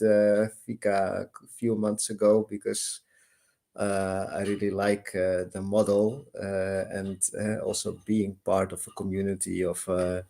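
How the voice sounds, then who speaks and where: A man from the Netherlands speaks in a low, gravelly voice with a flat tone. He introduces himself on a video call, pausing often on fillers. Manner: halting, frequent fillers. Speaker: freelancer. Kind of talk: casual self-introduction.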